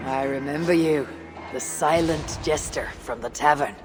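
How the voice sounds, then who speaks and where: A woman's voice: sits low, moderate pace, darkish tone, soft background music, close to an everyday voice. An actress playing a hardened, resolute Viking warrior woman with a wry edge in a video game cutscene. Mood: wry, muttering.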